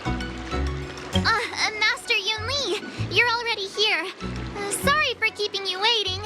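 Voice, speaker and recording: A woman playing a perky, expressive teenage girl apprentice in a video game, with a bubbly, girlish manner. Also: extremely high pitch, unhurried, over loud music. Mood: sheepish.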